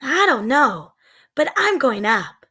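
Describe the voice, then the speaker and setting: A woman's voice: high voice, very animated, takes it slowly, pushed and bright, husky, voice pushed up, heavily acted. A woman voicing an eager, bold seven-year-old girl who exclaims and calls out in a children's storybook read-aloud. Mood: breezy, determined.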